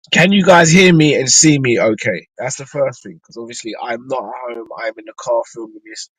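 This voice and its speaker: A man hosting a live fan show from inside his car, his voice still a little croaky from a flu, chatting loosely and thinking aloud with ums and restarts. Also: medium pitch, normal speed, fairly bright tone, no room sound, rough recording. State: slightly croaky after flu. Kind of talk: casual live-stream chat.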